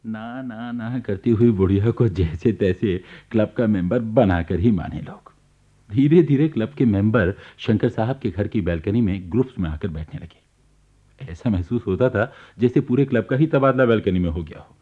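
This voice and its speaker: An actor playing an amused storyteller in a radio play, narrating in a wry, chatty way. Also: male voice, fairly low pitch, normal speed, lightly acted. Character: amused male storyteller recounting neighbourhood gossip. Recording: dull, lo-fi archive radio recording.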